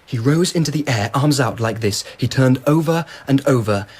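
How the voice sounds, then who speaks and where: Brief: husky, indulgent emperor, expansive and eager. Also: male voice, mid-range voice, animated, normal speed. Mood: enthusiastic. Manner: expansive, enthusiastic anecdote-telling. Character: curious, indulgent male emperor of old Japan. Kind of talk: BBC radio drama acting.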